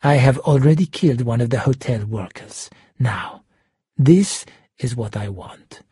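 A man voicing an angry, cold gunman in an audiobook, giving hard, clipped, often hushed threats. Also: mid-range voice, expressive, measured pace, husky, dry recording, studio quality. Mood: quiet threat. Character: menacing, angry male gunman, cold and commanding. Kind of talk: audiobook character voice.

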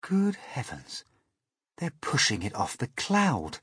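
A man's voice: mid-range voice, wildly swooping pitch, slow, a bit bright, breathy edge, no echo, clean studio sound, pitched above the performer's own voice, full character voice. A man voicing an earnest young boy who talks in urgent whispers. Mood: alarmed.

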